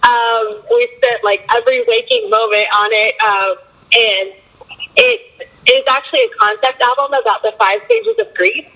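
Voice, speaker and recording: A young woman in a band, a bit hoarse from a cold, answering interview questions in a loose way with lots of fillers. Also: on the high side, lively intonation, moderate pace, bright, forceful tone, low-quality recording. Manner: loose, filler-heavy talk with frequent likes and ums. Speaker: band member.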